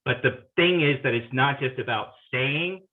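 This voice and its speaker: A man's voice: medium pitch, moderate pace. A man from Guatemala answering as a party officer candidate at a forum, firm and determined, with frequent fillers. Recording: tinny phone-like video call line.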